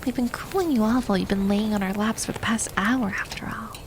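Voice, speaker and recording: A prim young-lady maid, acted by a woman in an ASMR audio roleplay. She speaks softly and formally at a middling female pitch, often in whispers, teasing yet caring and quick to fluster. Noticeable ambient noise sits behind her. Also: natural voice. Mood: composed, fond. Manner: soft, formal wording, stammers when embarrassed.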